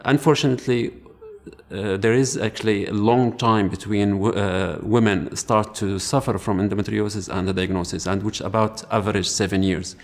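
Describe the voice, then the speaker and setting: Male specialist, lowish voice, earnest and hesitant delivery. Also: fairly even intonation. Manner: earnest, halting, many fillers. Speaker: medical specialist. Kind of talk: explanatory interview talk.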